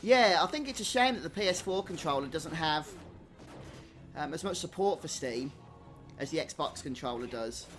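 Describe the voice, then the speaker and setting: Male game streamer, slightly high voice, conversational and casual, talking to viewers over soft game music. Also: expressive, moderate pace. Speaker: gaming streamer and host. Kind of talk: casual live stream chat over gameplay. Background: video game music.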